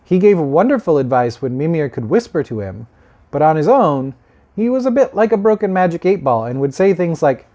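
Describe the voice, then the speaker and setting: A man's voice: fairly high pitch, very animated, a little fast, dark tone, dull audio. A man telling myths as a storyteller in an informal, conversational way, with wry asides.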